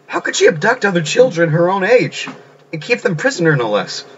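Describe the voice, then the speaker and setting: A man's voice: medium pitch, normal speed, sharp tone, muffled recording, a little hiss or hum, natural voice. A man voicing an alarmed, blunt teenage schoolboy in a game, incredulous and hesitant.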